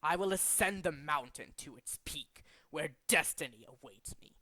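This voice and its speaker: A man voicing a cold, megalomaniac villain boss in a dramatic, deliberate video game monologue. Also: on the high side, sharp tone, dry recording. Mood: cold, quiet, aloof. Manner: grandiose, deliberate, menacing. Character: cold, megalomaniac male villain boss bent on remaking the world. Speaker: amateur voice actor in demo reel.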